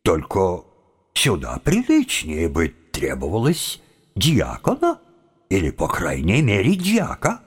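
An actor playing a bewildered young seminary philosopher in an audio drama, wheedling and evasive in folksy, roundabout speech. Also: male voice, sits low, wildly swooping pitch, slow pace, breathy edge, exaggerated voice. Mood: evasive pleading.

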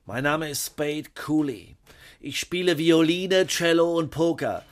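A man's voice: a little high, measured pace, lightly acted. Spade Cooley, a man playing a cocksure cowboy fiddler. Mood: boastful. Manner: boastful, drawling. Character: cocky young male cowboy fiddler, boastful. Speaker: musician. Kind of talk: radio drama acting. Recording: music playing behind.

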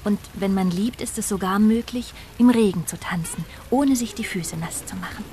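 A woman's voice: mid-range voice, moderate pace, dark tone, a little hiss or hum, natural voice. An actress in a radio drama playing a romantic woman in love, reminiscing in a lyrical, dreamy way. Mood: dreamy, tender. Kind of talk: first-person radio drama narration. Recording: music playing behind the voice.

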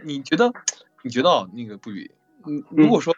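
A man's voice: a little high, sing-song, brisk, no echo, highs cut off. A man hosting a casual question-and-answer chat over an online voice call, often repeating and rephrasing his questions, with music behind him. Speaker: host of a cast chat about an audio drama.

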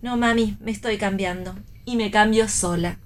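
An actress playing a defiant, wry young daughter in radio theatre, with an Argentine accent and a pointed manner. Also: female voice, medium pitch, steady tone, normal speed, lightly acted. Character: defiant, wry young pregnant daughter standing her ground.

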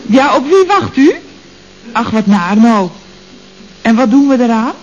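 An actress playing a chatty, coy office receptionist in a radio comedy, with mannered politeness and a Netherlands Dutch accent. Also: female voice, fairly high pitch, very animated, unhurried, darkish tone. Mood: affected, polite. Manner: mannered, over-polite telephone patter. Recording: dull old radio recording, faint noise.

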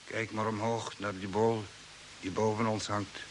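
A man acts a fatalistic guide on Mars in an old radio serial. His voice is on the low side, measured and sombre. A clear buzzing noise runs behind it. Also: level tone, lightly acted. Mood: defeated. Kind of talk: mid-century Dutch radio drama acting.

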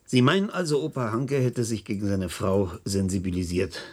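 An actor playing a gruff, sceptical senior medical scientist in a radio play, husky and clipped, with a dry manner. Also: male voice, on the low side, normal speed. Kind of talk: naturalistic German radio play acting.

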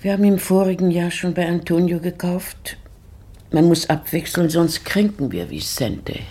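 An actress playing a pious widowed lady in a German radio play, brooding and searching her memory with halting, formal diction and a breathy voice. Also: fairly low pitch, animated, dark tone. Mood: stern, weary.

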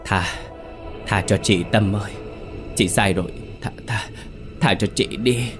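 A sobbing, desperate woman begs a ghost for mercy in a horror audio story, as a male narrator puts her on. Her pleas come out loud and strained, pitched a little above his usual low voice. Soft music plays behind her. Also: measured pace, lightly acted. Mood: begging. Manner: sobbing, shrill pleading. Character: terrified scheming woman begging a ghost.

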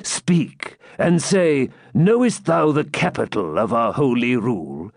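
A man voicing a severe elderly Grand Master of a holy order, speaking slowly and forcefully as he accuses. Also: medium pitch, animated, gravelly, no room sound, clean studio sound, heavily acted. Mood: stern. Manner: slow, forceful, accusing delivery.

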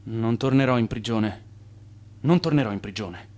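An actor in a radio drama playing a defiant, scheming swindler, an Italian immigrant, with theatrical delivery. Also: male voice, lowish voice, normal speed, a little mellow, lightly acted. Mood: resolute, brooding.

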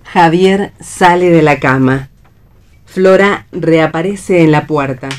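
An Argentine woman serves as narrator for a radio play reading. Her voice is low for a woman as she reads the scripted stage directions. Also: slow pace.

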